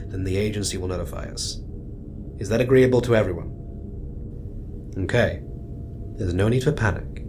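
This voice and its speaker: Male actor as astronaut commander: deep, measured, strained, steadying a crew. Sci-fi audio drama, noisy rumbling background. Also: natural voice. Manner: measured, hesitant, reassuring with clipped orders. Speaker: mission commander giving orders.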